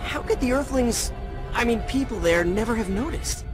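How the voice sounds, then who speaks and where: A man's voice: very high voice, animated, normal speed, over music. A man voicing an eager teenage boy hero in a video game, with an earnest, casual, youthful delivery. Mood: earnest, curious. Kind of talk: video game cutscene acting.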